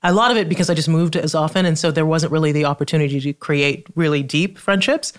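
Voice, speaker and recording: A middle-aged woman from Trinidad with a studied American accent and a polished, measured way of talking, a guest in a casual podcast conversation who laughs easily. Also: low-pitched. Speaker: guest author.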